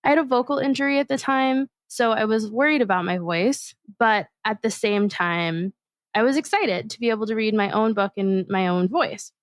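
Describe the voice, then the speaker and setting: A woman hosting her own podcast, talking alone in a thoughtful, measured and personal way. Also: on the high side, dry recording. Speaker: podcast host and facilitator. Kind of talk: reflective solo podcast monologue.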